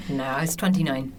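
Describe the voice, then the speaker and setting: A young woman from Hong Kong, a podcast guest, chatting casually with a dry, self-deprecating humor. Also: sits low. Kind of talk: casual interview chat. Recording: faint background noise.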